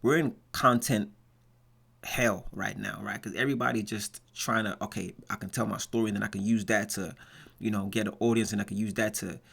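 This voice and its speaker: A man's voice: lowish voice, fairly even intonation, gravelly, a bit bright, slightly rough. An American man hosting a reaction video, talking casually and rambling with frequent restarts. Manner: rambling, restarts often, drawn-out fillers. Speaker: reaction channel host.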